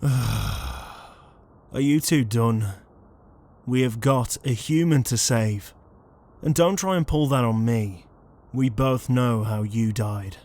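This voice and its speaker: Man playing a flustered, slow-talking ghost. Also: medium pitch, darkish tone. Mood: exasperated, urgent. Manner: flustered, hesitant, pleading. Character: exasperated, conscientious male ghost, murdered shut-in. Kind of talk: amateur comedic audio roleplay.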